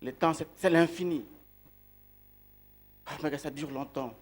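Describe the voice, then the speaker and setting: A man giving a sermon who grew up outside Belgium. He speaks slowly and deliberately, repeats key words and asks his listeners rhetorical questions. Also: fairly high pitch, fairly even intonation. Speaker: preacher.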